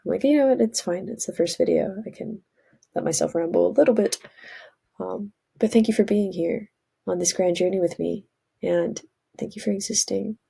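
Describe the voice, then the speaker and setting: A woman's voice: mid-range voice, moderate pace, vocal fry, warm tone, slightly rough. A woman, congested from a cold, rambling earnestly in a casual spiritual vlog monologue. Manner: rambling, earnest, self-correcting. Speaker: vlogger.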